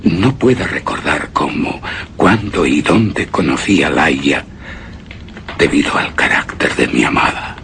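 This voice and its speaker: A very deep, gravelly, haunted widower. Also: male voice, animated, a bit bright, a little hiss or hum, exaggerated voice. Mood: haunted, wistful. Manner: slow, halting, dramatic, with breathy whispered words. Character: brooding, grief-haunted widower recalling his lost beloved wife. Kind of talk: suspense and horror radio theatre acting, first-person narration.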